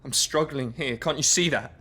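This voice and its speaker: A man's voice: medium pitch, normal speed, bright, forceful tone, breathy edge. A man, playing a troubled, anxious and paranoid young man in a radio drama. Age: 20s. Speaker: young man with schizophrenia, narrator of his story.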